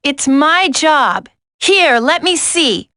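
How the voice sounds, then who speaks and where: A woman's voice: high voice, sing-song, slow pace, bright, forceful tone, dry recording, clean studio sound, exaggerated voice. A woman playing a capable, wry, no-nonsense tech specialist in a video game, teasing her teammate. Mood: concerned, focused.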